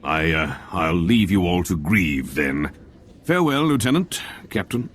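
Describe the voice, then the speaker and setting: A man's voice: low voice, big pitch swings, measured pace, fairly bright tone, slight background noise, exaggerated voice. A man voicing a haughty, indignant nobleman in a video game, speaking in a formal, pompous way. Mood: subdued, awkward.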